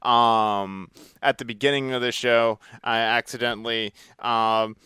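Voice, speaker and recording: A man with a middle-pitched, exceptionally smooth and clear voice hosts a relaxed conversation. His phrasing is agreeable and slightly hesitant. He is recorded dry, without room echo. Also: unhurried. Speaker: podcast host. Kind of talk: casual conversational interview.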